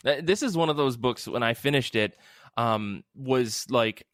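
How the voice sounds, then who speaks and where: A man hosts a casual hobby podcast in a medium-pitched voice. He talks effusively and with emphasis, dropping swear words freely. The sound is dry, with very little room on it. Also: unhurried, a bit bright, no room sound. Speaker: podcast host. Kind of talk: casual chat about comic books.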